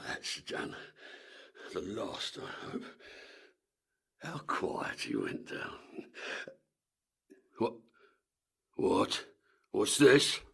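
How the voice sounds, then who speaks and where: A gravelly, slow-speaking villain, a murderous barber from a Georgian melodrama. His delivery is sharp and menacing, swinging widely in pitch, with theatrical halts. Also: wildly swooping pitch, exaggerated voice. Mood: furtive. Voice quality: gravelly, rasping. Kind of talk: period audio drama acting.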